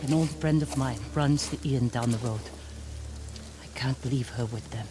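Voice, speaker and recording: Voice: medium pitch, normal speed, close to an everyday voice. An actor playing a grim, troubled islander in a video game cutscene, somber and urgent. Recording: music and rain behind the voice.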